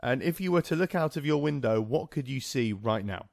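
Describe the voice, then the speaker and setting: A man's voice: mid-range voice, expressive, a little fast, vocal fry, darkish tone, no room sound, clean studio sound. A man hosting a podcast, upbeat and jokey as he introduces the show and interviews a guest. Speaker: podcast host.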